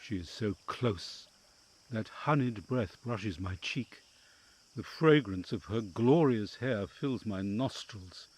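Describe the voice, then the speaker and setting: An actor in an audio drama playing a devoted, wary counsellor to a noble family, with formal, theatrical phrasing and inner musings. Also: male voice, on the low side, expressive, slow, darkish tone, slight background noise. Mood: yearning, intimate.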